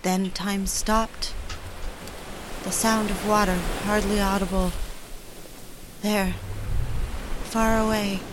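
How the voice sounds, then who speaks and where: A woman's voice: mid-range voice, little pitch movement, slow pace, long pauses, background noise, lightly acted. A woman acting the part of a frightened, pleading young wife in an audio drama, anxious and eager to please. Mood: numb, hushed.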